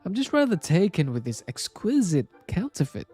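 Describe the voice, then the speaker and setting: A man voicing a wealthy young gentleman customer, coy and smirking yet blunt, in a storybook reading. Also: high-pitched, wildly swooping pitch, moderate pace, husky, soft background music, pitched above the performer's own voice, exaggerated voice.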